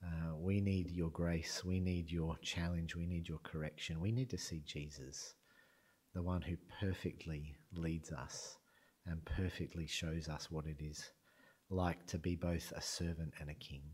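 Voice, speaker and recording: A low-voiced man gives a sermon in a church. He is croaky from a cold and talks in a relaxed, self-deprecating way, with plenty of ums. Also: measured pace. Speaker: preacher. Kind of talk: sermon with prayer.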